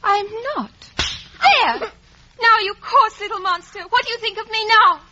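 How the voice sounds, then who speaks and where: A woman's voice: very high voice, normal speed, pushed and bright. A woman playing a haughty, spiteful young girl in a 1950s radio drama, imperious and scornful. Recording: muffled vintage radio recording.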